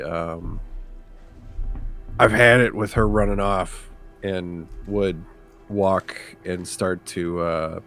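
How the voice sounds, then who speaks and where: Deep, slow-talking man at the game table. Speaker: player in a tabletop roleplaying game. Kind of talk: casual description of his character's actions.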